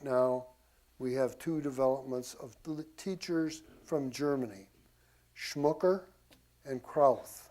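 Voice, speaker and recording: A man with a somewhat high-set voice teaches history to a group. He speaks informally and in a folksy way, dropping in casual asides to his listeners. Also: a little mellow. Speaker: church class teacher.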